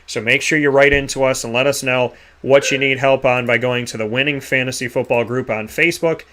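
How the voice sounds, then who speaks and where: A man hosting a sports radio show, giving rambling, energetic shout-outs and sponsor plugs. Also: medium pitch, a little fast, a little hiss or hum. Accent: Boston. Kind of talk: enthusiastic sign-off with sponsor plugs.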